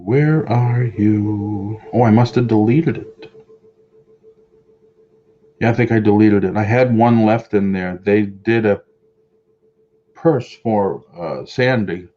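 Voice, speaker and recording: A man's voice: lowish voice, mellow, muffled recording. A middle-aged man with a Boston accent, chatting casually on a home live stream, rambling and answering viewer comments. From Boston area. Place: home room with shelves of collectibles.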